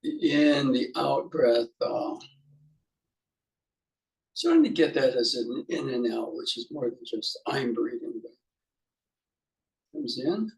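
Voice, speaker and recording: A man leading an unscripted guided meditation as a teacher, musing haltingly in short fragments with pauses. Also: medium pitch, big pitch swings, gravelly, rough recording.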